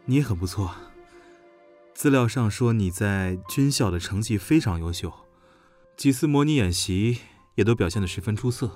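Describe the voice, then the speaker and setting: A young general in a modern audio drama , acted by a man. He is playful and teasing, and his pitch moves in an animated way. Soft music plays behind his voice. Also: normal speed, close to an everyday voice. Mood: friendly, earnest. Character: teasing young male Alpha general, under thirty, playful.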